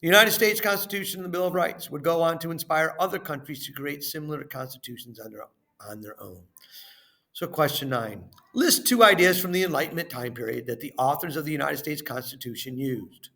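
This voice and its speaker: Higher-voiced male teacher reading aloud. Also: animated, brisk, creaky, sharp tone. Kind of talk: reading a lesson and worksheet questions aloud.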